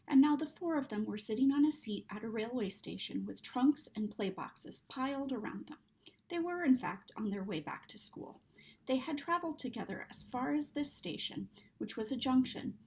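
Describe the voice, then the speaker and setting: A woman reading a children's story aloud in an expressive, dramatic narrating style. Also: a little high, gravelly. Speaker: story reader.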